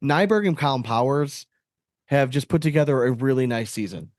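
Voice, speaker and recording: A man's voice: medium pitch, moderate pace, a little mellow, no echo, studio quality. A man co-hosting a podcast, talking casually and analytically about sport in a blunt, profane, slangy way. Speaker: podcast co-host.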